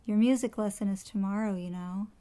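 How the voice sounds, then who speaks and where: A woman reads as a mother in a children's story. She addresses her young daughter politely but firmly, in a medium-pitched voice. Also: fairly even intonation, a little fast, mellow, natural voice. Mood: calm, firm.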